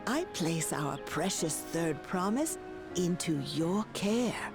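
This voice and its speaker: An elderly, devoted nursemaid in a fantasy game. Her delivery is formal yet tender, with very lively intonation in a fairly low register, over clear music. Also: slow. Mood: solemn. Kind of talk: video game voice acting.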